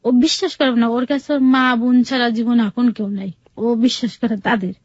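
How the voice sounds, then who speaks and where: A woman with a Bangladeshi Bengali accent and a fairly high voice recounts her life as an interview guest. She talks plainly and conversationally, quoting people as she goes. The sound is close and dry, though somewhat dull. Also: steady tone, moderate pace, darkish tone, no echo. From Bangladesh, outside Sylhet. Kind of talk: personal storytelling in a radio interview.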